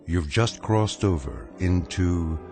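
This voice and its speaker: A deep-voiced, solemn male narrator introduces eerie radio tales. He is measured and portentous, with a mysterious air, and music plays clearly underneath. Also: lively intonation, full character voice. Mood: solemn, ominous. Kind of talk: scripted radio anthology introduction.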